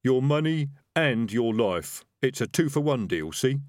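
A man playing a cautious, chatty highwayman in a storybook reading, matter-of-fact and methodical. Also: big pitch swings, measured pace, darkish tone, dry recording, studio quality. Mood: relieved, threatening.

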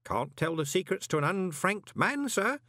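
A man voicing an obsequious, anxious old postman in an audiobook, deferential and folksy, with a hoarse edge. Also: high-pitched, big pitch swings, moderate pace, bright, forceful tone, no echo, clean studio sound, voice pushed up, full character voice. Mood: deferential, pious. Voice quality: hoarse, whispery when urgent. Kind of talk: audiobook character voice.

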